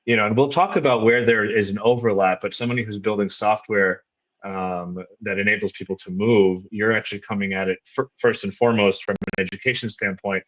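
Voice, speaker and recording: A man's voice: fairly low pitch, steady tone, fairly quick, a bit bright. Curious male podcast host, lo-fi call. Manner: rambling, curious questions with restarts. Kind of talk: interview questions, casual.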